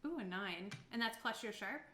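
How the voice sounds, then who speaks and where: A woman running a tabletop game as game master, describing scenes informally and haltingly, piece by piece. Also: mid-range voice, expressive, fairly bright tone. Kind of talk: improvised tabletop game narration. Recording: roomy, echoing sound.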